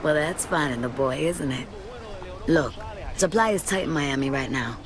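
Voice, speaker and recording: A woman's voice: low-pitched, normal speed, a crowd in the background, lightly acted. A woman acting a tough, flirty, shrewd bartender and drug dealer in a video game, cool and sassy. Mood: businesslike.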